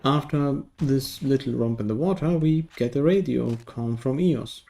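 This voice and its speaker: A man's voice: mid-range voice, measured pace, gravelly, warm tone, faint music behind. A man from Germany, narrating a casual game review in a dry, wry manner.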